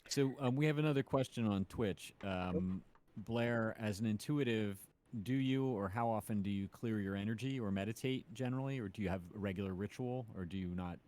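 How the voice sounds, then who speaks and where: A man's voice: on the low side, brisk, a little mellow. A man co-hosting a show, casually putting audience questions to a guest. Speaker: co-host reading audience questions.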